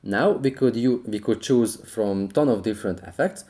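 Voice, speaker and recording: A man's voice: fairly low pitch, normal speed, dark tone. A man hosting a how-to tutorial, narrating app instructions step by step. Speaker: tutorial host.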